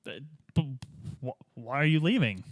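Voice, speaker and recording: A man's voice: on the high side, very animated, moderate pace, no room sound. A man taking part as a player in a tabletop game, speaking in casual, improvised roleplay.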